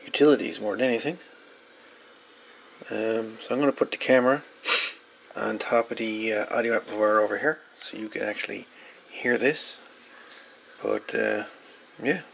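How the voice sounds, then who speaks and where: A man casually presenting a hands-on electronics demonstration, unscripted and hesitant, with frequent pauses. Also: on the low side, gravelly, a bit bright, low-quality recording. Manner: hesitant, unscripted, pausing often. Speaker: hobbyist presenter. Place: small cluttered home workshop. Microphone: camera microphone on the workbench. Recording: camera audio with audible background noise.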